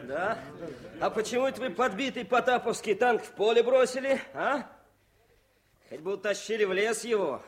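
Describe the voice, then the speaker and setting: An actor playing an eager, warm young army journalist in a Soviet radio drama, with music behind him. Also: male voice, high-pitched, animated, moderate pace. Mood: jovial, hearty.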